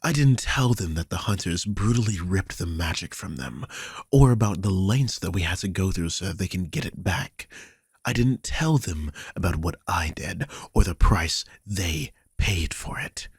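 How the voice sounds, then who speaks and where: Husky, low-voiced, guilt-ridden vampire king played by a man. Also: expressive, moderate pace, no room sound, clean studio sound, heavily acted. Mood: defensive, troubled. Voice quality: husky, breathy. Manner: confiding, weary, sighing, swears. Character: male vampire king, worried, guilty, protective keeper. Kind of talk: dramatic audio roleplay, one side of a conversation.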